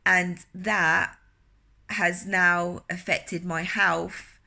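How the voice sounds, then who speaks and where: A woman, a wellness coach talking earnestly in a personal vlog, a bit nasal from a cold, halting with fillers and restarts. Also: on the low side, little pitch movement, vocal fry, sharp tone.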